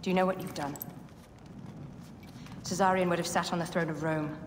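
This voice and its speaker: Echoing in a hall with noisy ambience behind, an actress plays an imperious Egyptian queen from a video game. Her lowish voice is regal, haughty and full of indignation. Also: steady tone, moderate pace, lightly acted. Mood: indignant pride. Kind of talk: dramatic video game cutscene acting.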